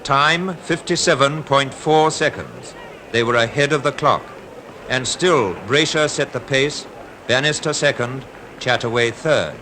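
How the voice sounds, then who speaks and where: A man's voice: medium pitch, lively intonation, a little slow, bright, forceful tone. A man with a British Received Pronunciation accent delivers formal, dramatic scripted newsreel commentary in a 1950s style. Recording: noisy 1950s archive newsreel soundtrack.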